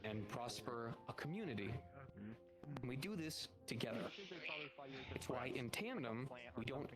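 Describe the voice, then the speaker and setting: A man's voice: medium pitch, very animated, moderate pace, lightly acted, poor audio. A man improvising an encouraging veteran monster hunter in virtual reality roleplay, explaining things to a rookie and repeating phrases for emphasis. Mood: encouraging, earnest. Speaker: roleplayer playing a guild instructor. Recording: loud music behind a livestream voice chat. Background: loud music.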